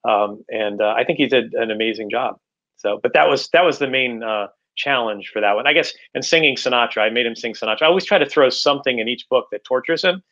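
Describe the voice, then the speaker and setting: A middle-aged man answering interview questions as an author, halting and repeating words as he explains, on a video call. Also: medium pitch, sharp tone. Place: indoors at home, room with bookshelves, on a video call. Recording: slightly dull video-call audio.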